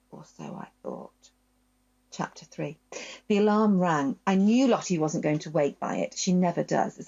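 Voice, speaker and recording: A woman reading a children's story aloud in a gentle, expressive storytelling voice, telling it in the first person as a dog. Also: sits low, creaky, breathy edge, muffled recording.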